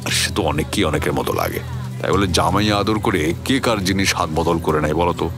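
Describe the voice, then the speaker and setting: A man playing a puzzled, suspicious narrator of a radio story, conversational and expressive, with a West Bengal Bengali accent. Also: sits low, fairly quick, over music. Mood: puzzled, wry. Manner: conversational, expressive, self-questioning. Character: puzzled, suspicious man recounting a baffling encounter. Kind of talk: dramatized first-person storytelling for radio.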